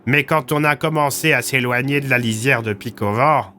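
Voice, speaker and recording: A man's voice: medium pitch, normal speed, bright, forceful tone, pitched above the performer's own voice, close to an everyday voice. A man playing a blunt, slangy small-town police commissioner recounting his survival in an audio story. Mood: grim recounting.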